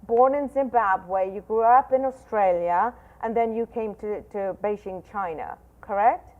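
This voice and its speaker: A woman hosts the show in an enthusiastic, chatty way, tripping over and restarting her words. Her mid-pitched voice comes through a thin, tinny phone-like line. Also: a little slow. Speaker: show host. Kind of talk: interview hosting.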